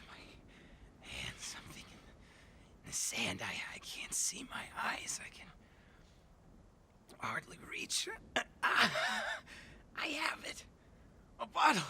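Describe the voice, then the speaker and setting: A performer in a radio drama playing a desperate, injured crash survivor in the desert, halting and repeating words between gasps and whispers. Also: mid-range voice, creaky, sharp tone, heavily acted. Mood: relieved, exultant. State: in pain, parched, out of breath. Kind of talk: melodramatic radio drama acting.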